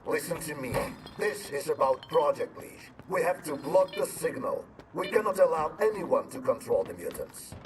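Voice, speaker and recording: An actor playing a grave, principled professor in a video game, speaking earnestly and insistently. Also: male voice, fairly high pitch, big pitch swings, normal speed, distant mic, background noise. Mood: urgent.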